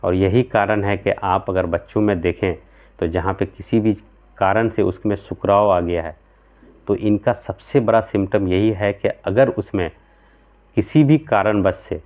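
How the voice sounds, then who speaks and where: A man's voice: fairly low pitch, unhurried. A man in his thirties explaining homeopathic remedies to camera in an earnest, explanatory way, often checking in with his listeners. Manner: earnest, explanatory, gesturing with both hands, frequent "theek hai" check-ins. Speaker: homeopathic practitioner. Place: small room at home, indoors. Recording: tinny, phone-like sound.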